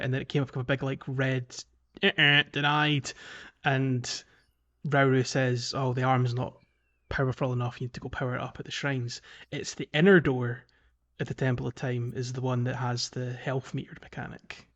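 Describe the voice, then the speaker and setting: A man's voice: medium pitch, level tone, normal speed, fairly bright tone, dull audio. A man co-hosting a podcast, casually recapping a video game in a loose, explanatory way with hedging asides. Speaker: podcast co-host.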